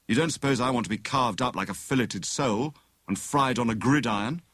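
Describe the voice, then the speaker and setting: A man's voice: mid-range voice, brisk, fairly bright tone, no echo, lightly acted. A man voicing a cheerful, flippant young adventurer in an audiobook, bantering breezily. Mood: breezy. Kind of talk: dramatised audiobook dialogue.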